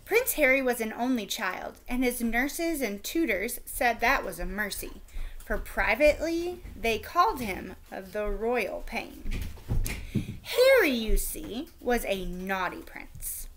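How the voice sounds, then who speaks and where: A woman teacher reading a chapter book aloud to children with expressive, animated storytelling. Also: on the high side, slow pace, a little hiss or hum.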